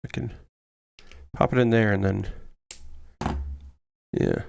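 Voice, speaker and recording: A man streaming live from a small room at home, chatting casually and rambling while he builds, with a loud booming voice. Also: steady tone, slow pace, gravelly, slight background noise. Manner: rambling, chatty, restarts often.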